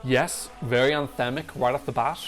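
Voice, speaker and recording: This is an excitable man chatting through a song reaction, quick to swear in delight. His voice sits at an ordinary middle pitch. Faint music plays quietly underneath him. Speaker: Eurovision reaction YouTuber. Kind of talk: casual reaction commentary. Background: faint music playing.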